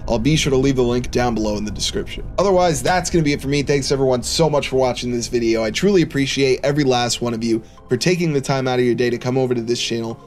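A man's voice: mid-range voice, quick pace, few pauses, a little mellow. Casual man commentating over background music. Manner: informal, conversational. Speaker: gaming YouTuber. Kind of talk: casual video commentary to viewers. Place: small indoor room, seated at a desk.

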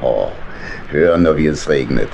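An actor playing a fussy, avuncular badger boat captain who mutters and repeats himself in a children's radio play. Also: male voice, rumbling low, expressive, measured pace, gravelly, full character voice. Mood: cosy. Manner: hesitant, muttering, repeats words. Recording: noisy storm and engine ambience.

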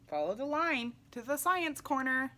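A young adult woman reading a children's picture book aloud in an animated way, putting questions to young listeners. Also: high voice, normal speed. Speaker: read-aloud storyteller for children. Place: small indoor room, seated in armchair.

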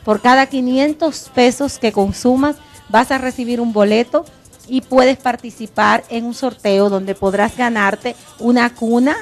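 A woman co-hosting a TV show on a handheld mic, lively and quick to laugh. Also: a little high. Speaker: TV talk show co-host. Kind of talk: reading ads and casual chat. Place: TV studio set. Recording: TV broadcast with background music.

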